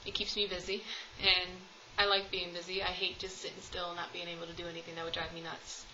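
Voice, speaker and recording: Chatty young woman, bright voice. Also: on the low side, monotone, fast talker, muffled recording, a little hiss or hum. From United States. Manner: chatty, rambling with many ums and laughs. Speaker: vlogger. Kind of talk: casual tag video chat. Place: home room, at home with cats.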